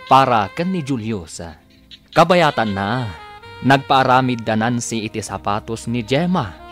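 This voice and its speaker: A man narrating a radio drama as its announcer, with theatrical, deliberate scripted delivery. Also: medium pitch, a bit bright.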